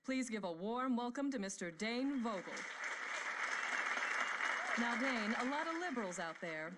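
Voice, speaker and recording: A woman's voice: on the high side, expressive, a little slow, over a noisy crowd. A woman playing an effusive, callous talk show host with a polished presenter's delivery. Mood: bright, pointed. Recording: loud background noise.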